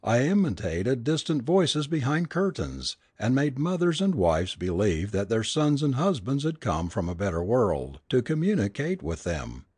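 A man reads the part of a frightened, submissive woman in a melodramatic audiobook tale. The voice swings widely in pitch with anguished pleading, husky at the edges and recorded very dry. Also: very animated, a little slow, few pauses, darkish tone, no echo. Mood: anguished, bitter. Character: worn-out, terrified, submissive woman, a medium's assistant. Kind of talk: dramatic audiobook character reading.

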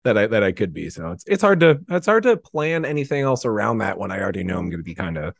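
A man talks casually as a podcast guest, his pitch swinging freely. He rambles in a self-deprecating, animated way and leans on "like" a lot. The sound is dry and close. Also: fast talker, creaky, dry recording. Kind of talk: casual chat, anecdotes.

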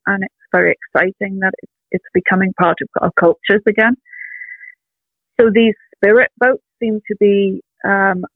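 An older woman artist talking in a casual interview, reflective and earnest, pausing with soft ums, heard over a phone call. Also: medium pitch, no room sound. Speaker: artist guest. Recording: tinny dry phone line.

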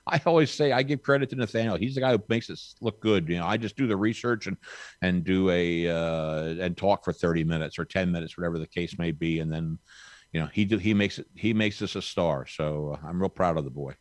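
A man's voice: deep voice, fairly quick, no echo. A man in his sixties, a podcast guest chatting casually, with a rambling, folksy, upbeat manner. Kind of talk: casual chat.

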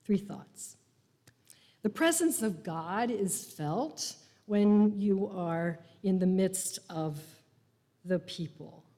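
An older woman giving a personal faith testimony in a church, speaking in a slow, reflective, gently humorous way. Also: mid-range voice, animated. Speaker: congregant sharing faith testimony. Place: church sanctuary. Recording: long reverb of a large hall.

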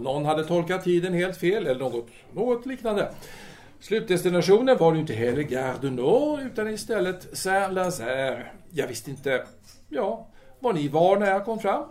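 A man's voice: high voice, very animated, a little mellow. An actor playing a self-absorbed, womanizing intellectual soldier on leave in an audio drama, wordy and hesitant in a pompous way. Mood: rambling, evasive.

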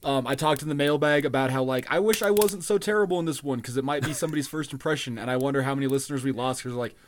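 A man's voice: mid-range voice, racing, a little hiss or hum. Man, podcast co-host, casual chat, laid-back, self-mocking, swearing.

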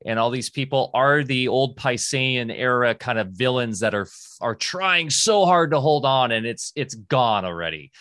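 A man's voice: medium pitch, a bit bright, no room sound, clean studio sound. A man hosting a casual interview who talks in an enthusiastic, rambling way. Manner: rambling and enthusiastic, with many restarts. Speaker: podcast host.

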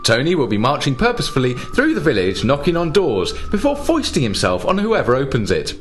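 A man's voice: mid-range voice, wildly swooping pitch, fairly quick, soft background music. A man hosting a casual comedy radio show, presenting in a playful, mock-grand way. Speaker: radio host.

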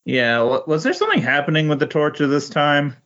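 A man's voice: fairly high pitch, very animated, a little fast, a bit bright, dull audio. A man chatting casually as a podcast guest, agreeable and a little hesitant. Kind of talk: casual chat.

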